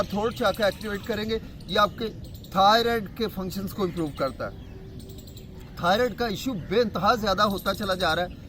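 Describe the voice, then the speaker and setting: High-voiced man teaching yoga. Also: a bit bright, a little hiss or hum. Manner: explanatory, with frequent hesitation fillers. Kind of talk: health lesson to camera.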